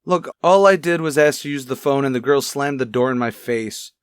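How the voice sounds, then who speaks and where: A man's voice: mid-range voice, normal speed, clean tone, dry recording, close to an everyday voice. Man playing a cocky, dry-recorded crime suspect. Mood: defensive, agitated. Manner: wisecracking, sneering. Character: cocky, defensive thirty-one-year-old clothing salesman under arrest. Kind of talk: old-time radio crime drama acting.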